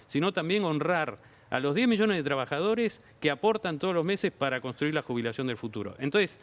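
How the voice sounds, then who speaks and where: A man with an Argentine accent, a government official explaining policy on a TV talk show in a measured, explanatory way. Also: fairly high pitch, animated. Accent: Argentine Spanish. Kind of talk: TV interview answers. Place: TV studio.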